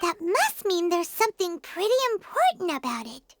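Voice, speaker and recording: A woman voicing a tiny, childlike, excitable fairy companion in a video game, with a squeaky voice. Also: moderate pace, background noise, full character voice. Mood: bright, eager. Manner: excitable, refers to self in third person. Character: tiny childlike floating fairy girl companion, cheerful and eager. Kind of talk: English video game voice acting. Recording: music behind the voice.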